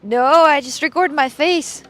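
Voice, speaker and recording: A woman from Russia, streaming casual chat on a street with her phone, excitable and playful. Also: high voice, unhurried.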